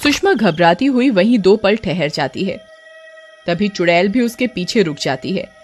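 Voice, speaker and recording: A woman's voice: medium pitch, lively intonation, unhurried, over music. A woman storyteller giving a dramatic scripted narration of a horror tale.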